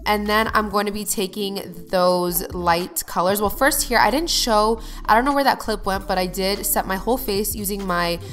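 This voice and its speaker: A woman's voice: medium pitch, moderate pace, few pauses, fairly bright tone. A young adult woman narrating her makeup routine to viewers in an upbeat, chatty way, sounding a little nasal from a cold. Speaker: beauty vlogger. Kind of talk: get-ready-with-me voice-over.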